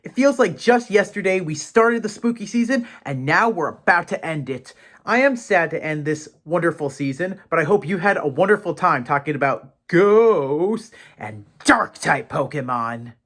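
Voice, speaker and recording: Male show host, higher-pitched voice, theatrical and chatty, playing a professor who addresses viewers directly. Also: expressive, moderate pace, a bit bright. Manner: theatrical, chatty, poses questions to the audience. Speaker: host of a Pokemon education show. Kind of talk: presenter monologue to viewers, playfully in character as a professor.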